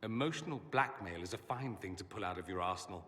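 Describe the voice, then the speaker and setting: An actor playing a witty, theatrical mage and homesick idealist in a dramatic game scene, with wry, polished delivery. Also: male voice, low-pitched, fast, fairly bright tone, in a large hall. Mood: teasing.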